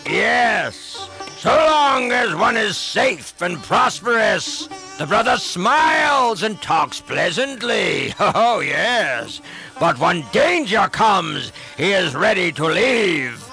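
A wrathful demon king shouts down his court, voiced by a male reader. The voice is slow, gravelly and forceful. Faint sitar music plays behind him. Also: very animated, full character voice, low-quality recording. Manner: shouting, booming and defiant. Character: proud wrathful demon king, older man.

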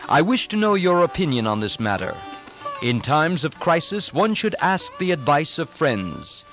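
A man voicing a calm, dignified princely leader, speaking with measured, courteous authority in an epic story. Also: fairly high pitch, faint music behind. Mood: calm, deliberative.

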